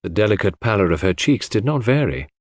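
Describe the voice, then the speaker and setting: A man narrates a literary story in the first person. His voice sits low and moves with a wide, animated range. The sound is dry and close. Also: a little fast, no echo. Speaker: audiobook narrator.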